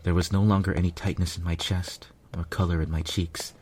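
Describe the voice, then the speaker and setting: A man's voice: deep voice, little pitch movement, a little fast, a little mellow, a little hiss or hum. A man reading scripted first-person fiction as its narrator.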